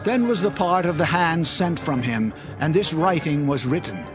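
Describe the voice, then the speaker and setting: A man's voice: a little high, animated, moderate pace, close to an everyday voice. A man playing a grave prophet who rebukes a proud king in a solemn, declamatory way, in a dramatized scripture reading. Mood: stern, accusing. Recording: dull lo-fi audio with music.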